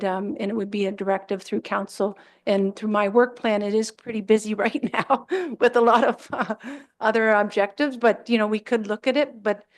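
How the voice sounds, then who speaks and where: A woman on municipal staff walks council through bylaw rules. She talks at a middling pitch in a procedural, explanatory way with plenty of ums. Her voice comes through a desk microphone in a council chamber. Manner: procedural, explanatory, frequent fillers.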